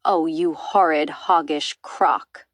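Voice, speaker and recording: A woman's voice: sits low, fairly even intonation, takes it slowly, dry recording. A woman voicing a friendly, naive monkey in a storybook, earnest and easily horrified.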